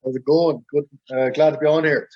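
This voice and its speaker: A man's voice: fairly high pitch, steady tone, brisk, lo-fi sound. A man, a podcast guest talking casually in a rambling way full of fillers. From Ireland. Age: 20s. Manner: rambling, heavy with fillers and hedges. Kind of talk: casual interview chat.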